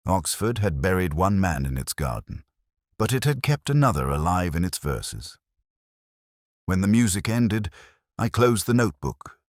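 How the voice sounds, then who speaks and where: A man narrating a scripted first-person detective story in a measured, reflective, literary manner. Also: very deep voice, no echo, clean studio sound.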